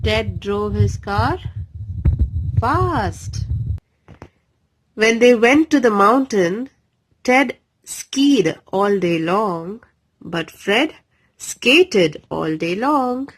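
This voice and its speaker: A woman reading a bedtime story aloud for young children in a deliberate, expressive way. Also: medium pitch, low-quality recording.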